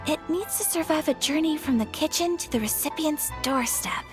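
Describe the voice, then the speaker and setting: An actress playing a gentle, polite young noblewoman in an anime-style video game, courteous and a little hesitant. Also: sits high, moderate pace, natural voice. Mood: polite, earnest. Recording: faint game music behind.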